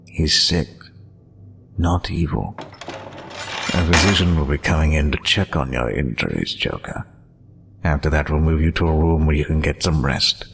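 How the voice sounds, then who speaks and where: A man voicing a stern, protective asylum doctor, measured and authoritative, in a motion comic. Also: very deep voice, animated, gravelly, fairly bright tone, a little hiss or hum, full character voice. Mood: firm, compassionate.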